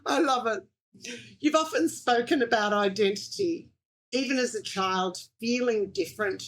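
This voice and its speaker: A woman hosting a podcast, narrating a guest's story in an expressive, melodic scripted style and asking interview questions. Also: on the high side, normal speed. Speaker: podcast host.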